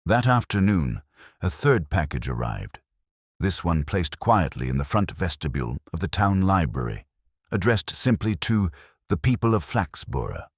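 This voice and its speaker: Very deep-voiced male mystery narrator. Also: sing-song, moderate pace, husky, no room sound, studio quality. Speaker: narrator of audio mystery story. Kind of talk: scripted storytelling narration.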